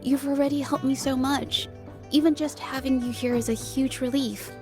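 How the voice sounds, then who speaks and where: A woman's voice: sits high, fairly even intonation, moderate pace, over music, natural voice. A female actor playing a shy, earnest young artist with a hesitant, timid, polite way of talking. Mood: nervous, grateful.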